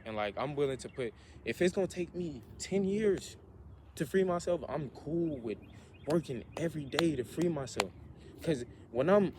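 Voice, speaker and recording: A man's voice: a little high, expressive, moderate pace, background noise. A man in his twenties giving an earnest, motivational monologue for a vlog, repeating himself as he talks through his thoughts. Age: 20s. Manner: earnest, repetitive, self-motivating. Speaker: vlogger.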